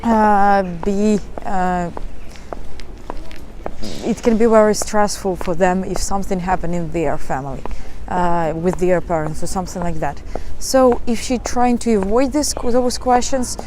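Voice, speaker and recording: A woman's voice: medium pitch, unhurried, mellow, a little hiss or hum. A young woman, giving dating advice in a live talk and answering questions, hesitant and full of fillers. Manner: hesitant, many fillers and restarts.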